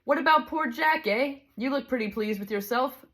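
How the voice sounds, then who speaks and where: A woman's voice: high voice, normal speed, fairly bright tone, voice pushed up. A woman voicing a big, self-righteous male ghost who complains in coarse working-class speech. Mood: indignant.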